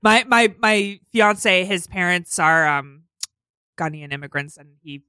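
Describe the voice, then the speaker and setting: A woman's voice: on the low side, expressive, a little slow, bright, forceful tone, smooth, dry recording, studio quality. A woman in her thirties, a podcast guest, talking candidly and chattily, full of "like". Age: 30s. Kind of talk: casual chat. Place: studio. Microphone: studio mic.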